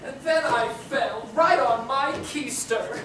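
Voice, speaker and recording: A man's voice: extremely high pitch, takes it slowly, far from the mic, background noise. A male actor playing a naive, dazed, lovestruck young groom, earnest and bumbling, in old-fashioned musical comedy style. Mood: dreamy. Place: theatre stage. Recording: echoey theatre recorded from afar, music.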